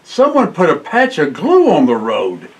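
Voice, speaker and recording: An older man reading a children's picture book aloud in an expressive way, with exclamations and short asides. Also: fairly high pitch, unhurried. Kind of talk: storybook read-aloud for children. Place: indoor library room, seated by bookshelves.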